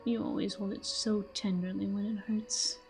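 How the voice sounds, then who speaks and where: A woman playing a possessive, teasing fantasy villain in an intimate audio roleplay, with a soft, breathy voice and a smug manner. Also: medium pitch, flat delivery, normal speed, soft background music, lightly acted. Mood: flirtatious, intimate. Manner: smug, taunting, breathy murmurs. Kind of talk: intimate scripted audio roleplay addressed to the listener.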